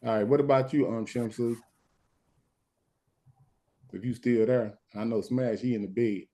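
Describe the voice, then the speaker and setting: A man in runs a live stream late at night, worn out, breaking off and restarting his points. His voice sits in an ordinary middle pitch. He sounds measured even while arguing. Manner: halting, many restarts and "hold on". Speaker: stand-in host of a live stream. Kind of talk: casual live-stream talk.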